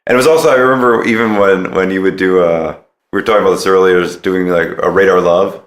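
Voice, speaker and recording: A man's voice: low voice, fairly even intonation, fast talker. A man chatting casually as a podcast host interviewing a guest. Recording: slightly roomy interview recording.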